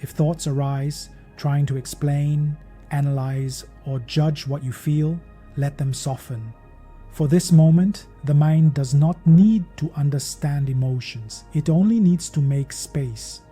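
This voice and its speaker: A man narrating a guided meditation in a gentle, measured, soothing manner, as a podcast host leading listeners. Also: fairly high pitch. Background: meditation music.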